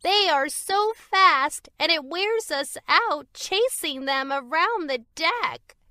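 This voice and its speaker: A woman's voice: extremely high pitch, lively intonation, slow pace, bright, forceful tone, dry recording, clean studio sound, pitched above the performer's own voice, full character voice. A woman voicing whining, grumbling pirate ship cats in a children's storybook read-aloud. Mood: complaining. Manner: whiny, complaining.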